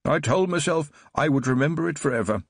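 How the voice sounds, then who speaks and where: A man's voice: a little high, lively intonation, a little fast, a little hiss or hum, pitched above the performer's own voice. A man doing a frail old baron, tearful and wistful. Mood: dreamy, tearful. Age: older. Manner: trembling, wistful, rambling recollection. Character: frail elderly male aristocrat, tearful and nostalgic.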